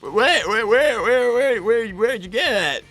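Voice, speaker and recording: Flustered, bright-voiced young boy fox, acted out. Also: medium pitch, lively intonation, a little slow, faint music behind. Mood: wary, exasperated. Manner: stammering, flustered. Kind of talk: improvised character roleplay on a livestream.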